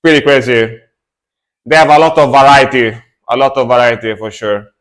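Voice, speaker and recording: A young adult man casually chatting with his stream chat while reacting to metal music, relaxed and talkative. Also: mid-range voice, normal speed. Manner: relaxed, chatty, answers chat, fillers and restarts.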